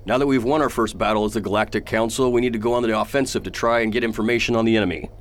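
A man playing a grave, authoritative galactic council leader in a science fiction radio drama, deliberate and formal in his commands. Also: on the low side, fairly even intonation, close to an everyday voice. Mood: measured, determined.

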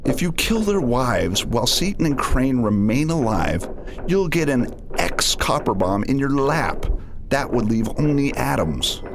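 An actor playing a ruthless, arrogant scientist villain in a space opera radio drama, scornful and domineering in manner. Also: male voice, medium pitch, big pitch swings, measured pace, noisy background. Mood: cold.

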